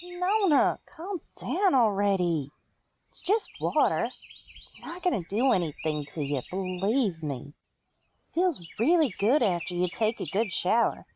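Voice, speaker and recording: Woman playing a slow-drawling, caring farm pony. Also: mid-range voice, very animated, a little mellow, slight background noise. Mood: coaxing. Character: young adult female farm pony, caring, teasing, folksy. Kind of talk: cartoon fan fiction voice acting.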